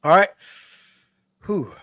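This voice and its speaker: A man co-hosting a sports podcast with a hoarse, raspy voice, chatting loosely with plenty of fillers. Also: slow pace, no room sound, clean studio sound. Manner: relaxed, rambling with many fillers. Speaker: podcast co-host. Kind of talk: casual sports chat.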